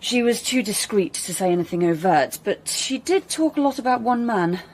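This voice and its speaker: A woman's voice: a little high, animated, normal speed, a little mellow, rough recording. An actress playing a confiding woman friend in a radio drama.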